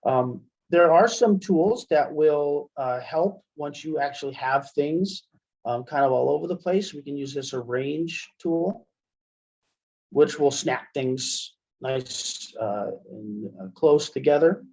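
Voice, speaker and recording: A man giving an informal, step-by-step software demonstration as a webinar instructor, in dull lo-fi call audio. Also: fairly high pitch, slow pace.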